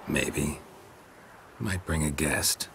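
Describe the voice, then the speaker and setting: An actor playing a stoic, laconic monster hunter in a video game, gravelly and deadpan. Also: male voice, very deep voice, extremely slow, slight background noise, heavily acted. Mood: dry, wry. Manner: terse, clipped, deadpan. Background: music.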